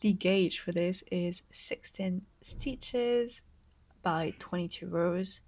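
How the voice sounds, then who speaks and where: A young woman knitting vlogger, congested from a cold, chatting excitedly to camera and sometimes slipping into a soft whisper. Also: on the low side, slow pace, slight background noise. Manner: gushing and excitable, often dropping to a soft whisper. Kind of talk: casual vlog chat to camera.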